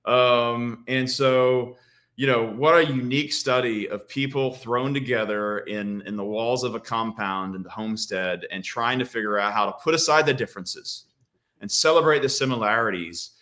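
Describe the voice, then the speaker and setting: A man's voice: medium pitch, moderate pace, a bit bright. A man from Australia, a film director, giving earnest, reflective interview answers with frequent restarts.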